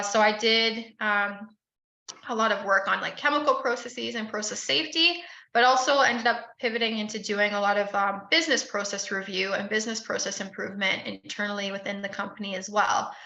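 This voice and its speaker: A woman's voice: medium pitch, bright, forceful tone, roomy sound, dull audio. A woman from the Bahamas in her thirties, telling her career story as an interview guest with frequent "um" fillers. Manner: frequent "um" fillers, friendly and measured. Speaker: podcast guest.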